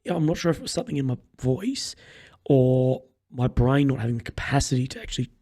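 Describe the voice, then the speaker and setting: A young man with a croaky, crackly voice, hosting a podcast as casual, blunt chat full of swearing. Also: medium pitch, fast talker, mellow. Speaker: podcast host.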